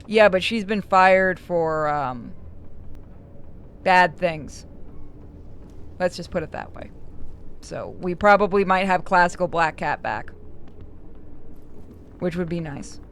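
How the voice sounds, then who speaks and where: A woman's voice: fairly low pitch, slow, pauses often, a bit bright. Woman streamer gossiping about games, swears a lot, blunt, little bit of background hiss. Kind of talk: casual gaming stream chat.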